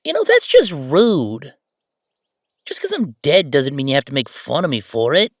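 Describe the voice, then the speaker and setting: A man playing a bossy, playful yet sentimental ghost roommate in a conversational roleplay audio drama, with animated, teasing banter. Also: a little high, normal speed, no echo, studio quality. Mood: mock offended, bossy.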